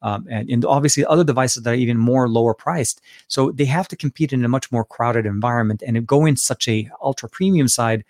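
A man's voice: medium pitch, a little mellow, dry recording. A man from Lebanon, hosting a casual live stream Q&A and answering viewers with many fillers and restarts, speaking close to a studio mic in an office. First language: Arabic. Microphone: close dynamic studio mic.

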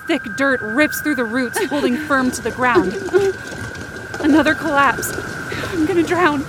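High-voiced woman narrating intense audio drama. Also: unhurried, noisy background. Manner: intense, theatrical, short clipped sentences. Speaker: writer and performer of fiction podcast. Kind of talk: dramatic first-person scripted narration.

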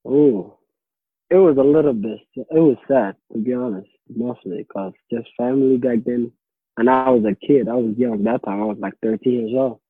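Young man, interviewee, halting and casual, husky, heard over a phone line. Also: mid-range voice, big pitch swings, dry recording. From Somalia. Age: late teens. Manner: halting, repeats words while searching for them. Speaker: interviewee, high school student. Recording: dry, tinny phone or call line.

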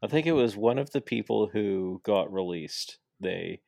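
A man's voice: fairly low pitch, lively intonation, a little slow, vocal fry, dark tone, no room sound, studio quality. A man hosting a podcast in a casual, rambling way with many fillers and restarts. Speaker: podcast host. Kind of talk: casual chat.

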